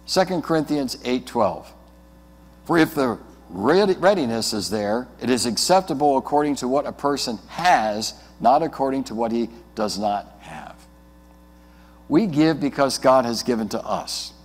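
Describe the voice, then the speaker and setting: A mature American man, a pastor, delivers an earnest sermon with emphatic phrasing and deliberate pauses inside a church. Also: mid-range voice, lively intonation. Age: middle-aged or older. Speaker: Lutheran pastor. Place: indoors at the front of a church, before the altar. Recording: reverberant church hall.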